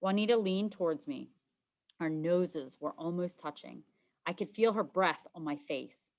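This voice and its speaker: A woman's voice: fairly low pitch, a little slow. A woman reading a children's storybook aloud as scripted narration, in the role of a teacher.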